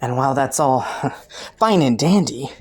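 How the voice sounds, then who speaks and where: A woman's voice: extremely low pitch, lively intonation, unhurried, darkish tone, slightly rough, exaggerated voice. A woman voicing a clever, flirty underworld rogue in an audio roleplay, teasing and taunting a detective with sardonic, sultry playfulness. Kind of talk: roleplay audio drama, intimate monologue to the listener.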